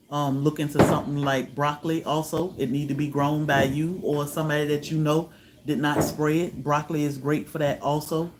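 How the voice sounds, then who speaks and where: A man from Virginia, hosting a homestead livestream, chatting with viewers in a relaxed way, often letting his voice trail off softly. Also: fairly high pitch, background noise. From Virginia, USA. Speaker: homestead channel host. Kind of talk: livestream chat answering viewers.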